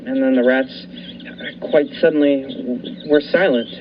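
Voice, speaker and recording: A man's voice: medium pitch, steady tone, natural voice. Man acting a haunted lighthouse keeper, muffled recording. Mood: grim, tense. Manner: dramatic, deliberate pauses and repetition. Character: haunted lighthouse keeper recounting his ordeal. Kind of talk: radio thriller narration. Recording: muffled lo-fi recording with faint noise.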